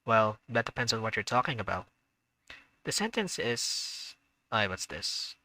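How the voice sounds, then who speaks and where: A man's voice: fairly low pitch, big pitch swings, a little slow, bright, forceful tone, no echo, poor audio. A man streaming a visual novel, reading the narration aloud and adding chatty, hesitant asides. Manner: chatty asides, hesitant with fillers when unsure. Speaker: gaming streamer.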